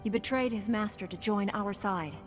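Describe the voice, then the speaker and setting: An actress playing a firm, guarded Jedi knight in a video game, speaking directly and in a businesslike way. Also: female voice, medium pitch, moderate pace, soft background music, lightly acted. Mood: serious, steady. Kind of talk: video game cutscene acting.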